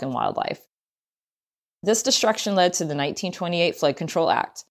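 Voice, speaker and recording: Woman, low-pitched voice, scripted narration, travel story reading.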